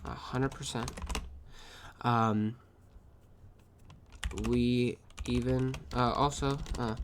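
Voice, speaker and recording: A man's voice: medium pitch, fairly even intonation, very slow, a little hiss or hum. A man with a sniffly nose, reviewing his own trades aloud in a casual, profane recap, often muttering to himself.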